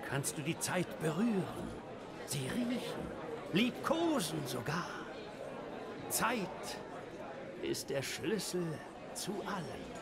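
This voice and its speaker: A high, swooping male voice belongs to a smug, sly stranger in a game drama. He speaks with silky, taunting theatricality. A loud crowd chatters behind him. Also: vocal fry, exaggerated voice. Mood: smug, philosophising.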